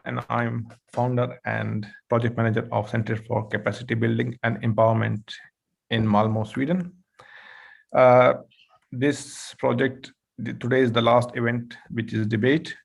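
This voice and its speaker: A man's voice: mid-range voice, gravelly, slightly rough. A man who founded the project, speaking on an online panel over a video call.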